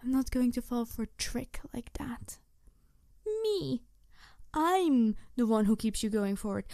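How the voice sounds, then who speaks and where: Snarky female supervillain, acted by a woman, high mellow voice, bitter, theatrical, taunting, ASMR roleplay monologue. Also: dry recording, studio quality. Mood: defensive, scornful. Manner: theatrical, taunting, deliberate, slipping into breathy whispers. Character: snarky, bitter female supervillain gloating over her captive nemesis.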